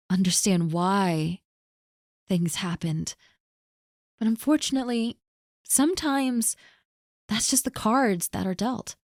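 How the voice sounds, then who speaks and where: Woman, gentle earnest comforting tone, slow pace, dry close recording. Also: lowish voice, dry recording. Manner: gentle, earnest reassurance spoken directly to the listener. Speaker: audio roleplay performer. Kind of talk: scripted audio roleplay, comforting a listener.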